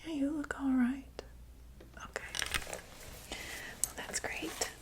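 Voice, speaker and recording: A woman whispering an ASMR medical roleplay as a doctor, gently reassuring and checking in with the listener. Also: sits high, fairly even intonation, slow pace.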